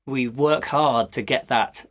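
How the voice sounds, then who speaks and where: A man's voice: medium pitch, steady tone, slow. A man hosting a podcast, moving between scripted narration and interview talk as an adventure novelist.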